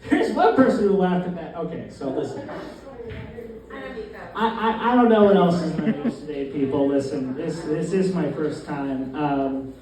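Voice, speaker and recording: First-timer comic, young man, slightly high voice, deadpan and halting, roomy distant club sound with a crowd. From Newfoundland, Canada. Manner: deadpan, halting, with many fillers. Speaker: stand-up comedian, first set. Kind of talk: open-mic stand-up comedy. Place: small comedy club, in front of a live crowd. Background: audience laughter.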